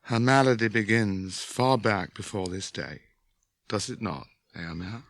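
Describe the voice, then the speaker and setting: A man's voice: on the low side, a little slow, a bit bright, dry recording, studio quality. An actor playing a grave, solemn returned king and healer in a 1980s radio drama. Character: noble kingly male healer and returned king.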